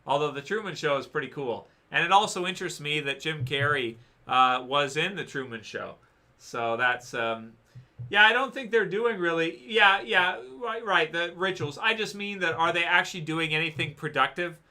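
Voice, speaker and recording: A man's voice: fairly high pitch, moderate pace, pushed and bright. A man hosting a casual livestream, rambling through viewer questions with many fillers and restarts, saying he is losing his voice. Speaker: livestream host answering chat.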